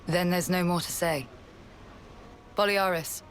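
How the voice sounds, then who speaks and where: An actor playing a calm, authoritative colony leader in a video game, speaking in a measured, formal and explanatory way. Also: male voice, high voice, slight background noise. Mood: composed, grave. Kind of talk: scripted video game cutscene acting.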